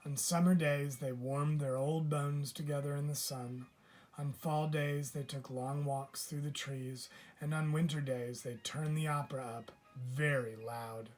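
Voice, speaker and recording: A man reading a children's picture book aloud as a teacher would, with measured, deliberate phrasing. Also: a little high, steady tone, a little mellow, faint music behind. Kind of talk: children's storybook read-aloud.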